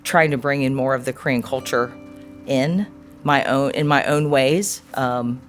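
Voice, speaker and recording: A woman in her forties answering an interview question in a thoughtful, earnest way, often pausing to search for words. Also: very deep voice, soft background music. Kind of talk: reflective personal interview answer.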